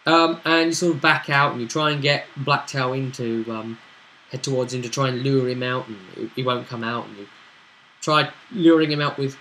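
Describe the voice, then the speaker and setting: Bright-voiced man recapping a tabletop game. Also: medium pitch, fairly even intonation, normal speed, slight background noise, rough recording. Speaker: host and game master recapping a tabletop session. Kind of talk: casual recap chat.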